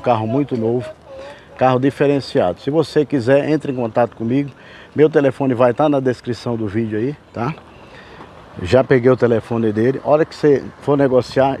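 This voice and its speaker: A man from Brazil giving a folksy, chatty sales pitch while filming cars at an outdoor car fair on a handheld camera. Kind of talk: walkaround sales vlog. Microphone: handheld camera mic, filming.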